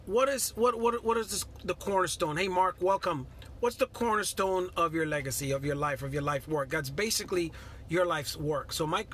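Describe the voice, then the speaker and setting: A man's voice: a little high, pushed and bright, a little hiss or hum. A middle-aged man from Guyana giving a casual motivational talk on a live stream, earnest and repetitive, greeting viewers by name.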